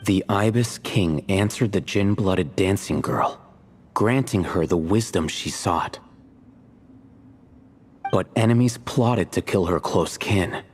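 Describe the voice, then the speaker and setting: A man voicing a calm, reserved male scholar in a game, composed and measured. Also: deep voice, a little hiss or hum.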